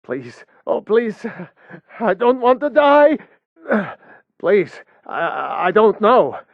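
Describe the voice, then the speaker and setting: A scared captive sellsword in a fantasy video game, in a fairly high voice. He stammers and pleads slowly and haltingly. The audio is dry and a little dull. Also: very animated, dry recording, exaggerated voice. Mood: desperate begging. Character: frightened, pleading captive Nord mercenary, a weak-willed sellsword. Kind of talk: video game character dialogue.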